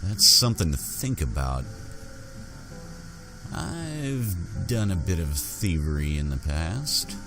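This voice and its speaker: A man roleplays his game character aloud. His voice is deep and moves through a wide range of pitch, yet he is very slow and measured. Game music plays behind him. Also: big pitch swings. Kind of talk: in-character roleplay gameplay narration.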